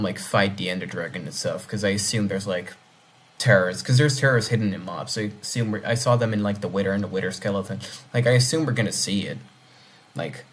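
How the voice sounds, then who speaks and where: A young man whose first language is Dutch, rambling excitedly while reacting to an animation and thinking out loud. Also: lowish voice, breathy edge. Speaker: reaction video host. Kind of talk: casual reaction commentary.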